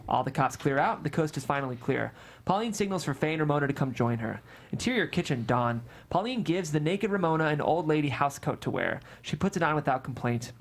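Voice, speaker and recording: A woman's voice: deep voice, lively intonation, brisk. A woman reading screenplay stage directions and scene headings as narrator at a live table read.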